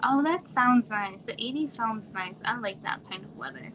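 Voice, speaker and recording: Quiet-voiced woman from arizona chatting on her home livestream, kinda high, soft, noisy audio. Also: animated, normal speed. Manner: soft-spoken, chatty, trailing off, drops to whispers. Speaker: livestream host. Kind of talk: casual live chat with viewers. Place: home room.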